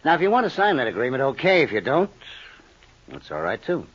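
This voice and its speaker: An American actor playing a sly small-time schemer in a 1950s radio crime drama, insinuating and full of false friendliness. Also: male voice, mid-range voice, huge pitch swings, fairly quick. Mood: needling. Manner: smooth-talking, insinuating, mock-friendly. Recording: dull, muffled old radio recording.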